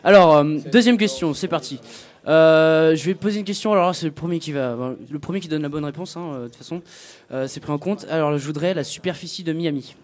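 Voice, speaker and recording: A man's voice: fairly high pitch, fast. A young man co-hosting a student radio quiz, asking questions with lots of hesitant fillers. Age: young adult. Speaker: quiz co-host.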